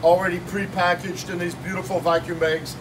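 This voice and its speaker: Man in his fifties, upbeat salesy talk, slightly high voice, distant phone audio, noisy room. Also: brisk. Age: 50s. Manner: energetic, salesy, talks with his hands. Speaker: shop owner demonstrating cooking. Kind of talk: casual promotional demo. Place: small shop office with kitchen counter, indoors. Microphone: phone camera held by someone nearby.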